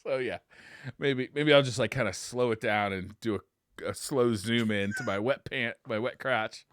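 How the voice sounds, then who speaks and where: A man's voice: medium pitch, moderate pace, a bit bright, dry recording. A middle-aged man co-hosting a podcast, telling stories in a casual, self-deprecating and readily amused way. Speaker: podcast co-host. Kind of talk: casual chat and storytelling.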